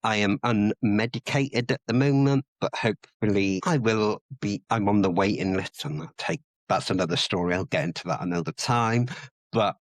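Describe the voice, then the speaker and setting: A man's voice: on the low side, normal speed, dry recording, rough recording. A Welsh man who speaks Welsh natively but sounds English, chatting nervously on a podcast and hesitating with many ums. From Wales. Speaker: podcast co-host. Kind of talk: casual chat.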